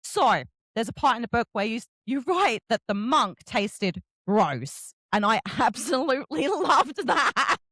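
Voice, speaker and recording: A woman hosting a casual book interview, effusive and expressive as she chats. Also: fairly high pitch, measured pace, few pauses, dry recording. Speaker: interviewer, book show host. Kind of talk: casual enthusiastic interview chat.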